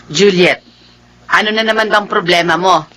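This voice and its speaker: A woman's voice: on the low side, moderate pace, sharp tone, rough recording. A woman with a Filipino accent playing a sarcastic, scolding middle-aged mother in a radio drama.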